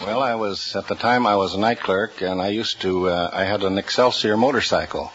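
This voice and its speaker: Man, 50s, contestant, hesitant, lowish voice, muffled vintage radio, studio crowd. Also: brisk. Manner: hesitant, plain-spoken, many uhs. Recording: old 1940s radio broadcast with studio audience.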